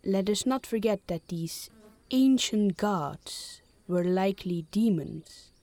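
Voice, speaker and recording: A man's voice: sits high, animated, slow pace, vocal fry, darkish tone, no echo, pitched above the performer's own voice, heavily acted. A man voicing a stern, devout woman who speaks solemnly about evil and idolatry. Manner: solemn, preachy.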